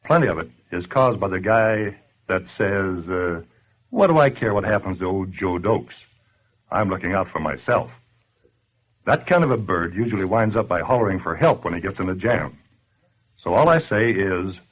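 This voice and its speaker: A plain-talking American regular guy in a vintage 1940s radio appeal. He is folksy and blunt, with a fairly low, rough-grained voice on a dull old recording. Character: folksy plain-talking man scolding his neighbours amiably.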